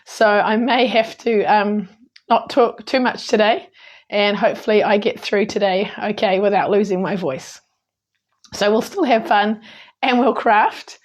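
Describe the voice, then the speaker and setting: A hoarse woman with a New Zealand accent talks through a stamping and card-making demo. Her speech is chatty and relaxed, sprinkled with ums and quick corrections as she works. Her croaky voice holds a steady middle pitch. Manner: chatty, with frequent ums and small slips. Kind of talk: casual crafting tutorial.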